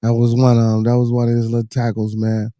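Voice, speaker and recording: A hoarse man hosting a podcast in loose, slangy casual chat, muttering to himself as he fumbles to share a clip. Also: mid-range voice, monotone, fast talker, dark tone, no room sound, clean studio sound. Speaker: podcast host. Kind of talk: casual live-stream chat.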